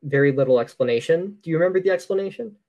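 Man, slightly high voice, podcast co-host, casual movie commentary, wry joking asides. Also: lively intonation, racing, darkish tone.

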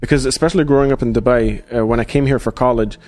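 A man's voice: mid-range voice, steady tone, quick pace, a little mellow. A man who grew up in Australia, hosting a casual livestream chat, talking loosely with plenty of fillers and restarts. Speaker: host.

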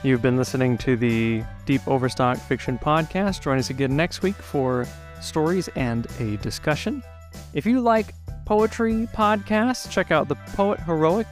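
A man reading a scripted introduction as a podcast host. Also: on the high side. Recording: music playing behind the voice. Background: plucked guitar theme music.

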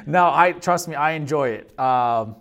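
A man's voice: on the high side, expressive, takes it slowly, fairly bright tone. Polite man hosting a podcast. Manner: polite, many ums and uhs. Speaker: podcast host, police officer. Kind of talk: casual podcast interview.

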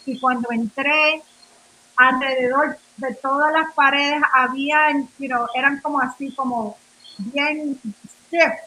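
A woman's voice: high-pitched, fairly even intonation, takes it slowly, pushed and bright, low-quality recording. A Nuyorican woman from New York, a radio interview guest, telling her story in rambling Spanish full of fillers, restarts and English words. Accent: Nuyorican, Spanish mixed with English words. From New York, of Puerto Rican family. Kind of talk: casual interview talk.

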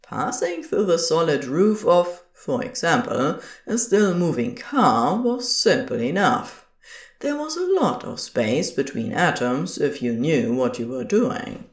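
A woman's voice: deep voice, very animated, unhurried, breathy edge. A woman reading scripted fiction as the narrator of an audio drama.

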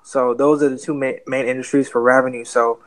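A man's voice: mid-range voice, brisk, poor audio. A man in his twenties with a Bahamian accent, talking earnestly as a guest on a podcast interview. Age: 20s. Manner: relaxed, earnest, many fillers. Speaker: guest real estate investor.

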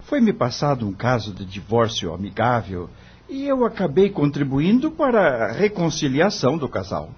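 A man's voice: medium pitch, huge pitch swings, moderate pace, husky, muffled recording, exaggerated voice. An actor playing an earnest, discouraged young male lawyer in a radio drama, respectful and pleading, with a Brazilian accent. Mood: dismayed.

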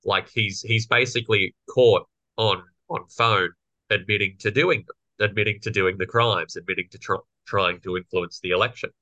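A young man with a slightly croaky voice, co-hosting a casual political podcast and explaining legal points. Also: fairly low pitch, fairly even intonation, moderate pace, bright, forceful tone, no room sound, highs cut off. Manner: explanatory, lawyerly. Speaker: podcast co-host.

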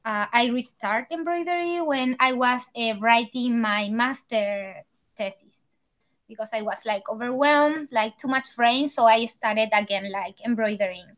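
A woman from Chile with a Chilean accent, a native Spanish speaker talking casually in halting English. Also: fairly high pitch, a bit bright. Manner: halting, searching for words in second-language English. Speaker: guest, embroidery artist. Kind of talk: casual conversation.

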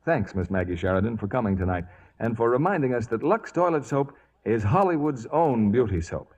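An American man announcing on 1940s radio, with a smooth salesmanlike delivery. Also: mid-range voice, lively intonation, fairly quick, darkish tone.

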